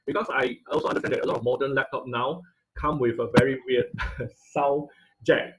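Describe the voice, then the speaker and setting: A man's voice: mid-range voice, steady tone, a little fast, noisy background. A man teaching an online robotics class over a video call, explaining step by step with many fillers and restarts. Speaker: instructor. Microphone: computer mic on video call.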